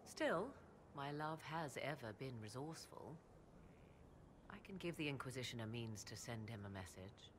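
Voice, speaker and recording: An actress playing a proud, sardonic sorceress in a video game, with archaic, formal, haughty diction. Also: female voice, rumbling low, expressive, moderate pace, noisy background. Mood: dry, sardonic. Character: proud, sardonic sorceress, devoted mother and lover. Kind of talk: dramatic video game dialogue.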